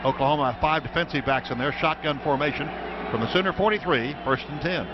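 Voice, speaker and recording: An American man calling football play-by-play on the radio in clipped running descriptions that get excited on big plays. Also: on the high side, a little fast. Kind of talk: live American football commentary. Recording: dull, noisy radio broadcast from stadium.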